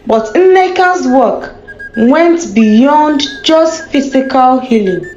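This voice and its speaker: A woman storyteller reading a scripted folktale in a measured, careful way, in a dull, echoing lo-fi recording. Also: sits high, animated, a little mellow. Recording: dull lo-fi sound, roomy echo. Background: background music.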